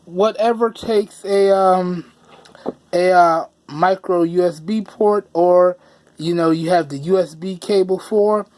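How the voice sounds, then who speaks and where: A man with a hoarse voice that he says he is losing, giving a chatty, casual product review and often repeating phrases for emphasis. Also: sits high, fairly even intonation, slow, darkish tone.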